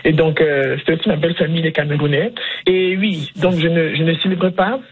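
A woman's voice: low-pitched, fairly even intonation, brisk, husky. A young woman giving hesitant, conversational answers as a guest on a radio panel. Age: young adult. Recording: muffled lo-fi radio link.

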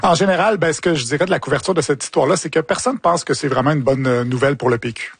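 A man's voice: on the high side, fast talker, husky. A man with a Quebec French accent hosting casual commentary on the news in a wry, joking, colloquial way. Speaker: host of a media commentary show.

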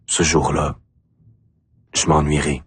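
Male poet, Acadian French accent, very raspy voice, slow deliberate phrasing, spoken-word delivery. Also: very deep voice, level tone. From Acadia, New Brunswick, Canada. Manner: deliberate, short phrases with pauses. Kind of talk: spoken-word poetry recital.

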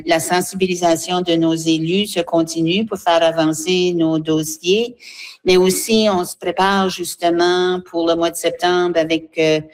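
A woman, explaining earnestly as an association representative in a spontaneous interview. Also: fairly low pitch.

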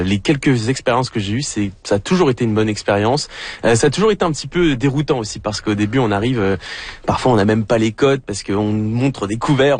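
French-accented male radio host, husky, chatty and hesitant. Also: mid-range voice, no echo. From France. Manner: hesitant, with frequent fillers and restarts. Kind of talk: casual radio talk. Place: radio studio.

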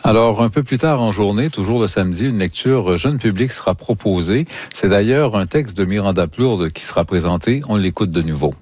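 Radio presenter, male, Quebec accent, low pitch, dry studio sound, arts news delivery. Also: normal speed, few pauses, darkish tone, no echo. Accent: Quebec French. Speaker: radio host.

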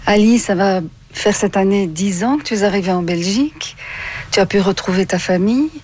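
A woman with a slightly husky voice interviewing someone in a conversational way. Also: mid-range voice, moderate pace, darkish tone, a little hiss or hum.

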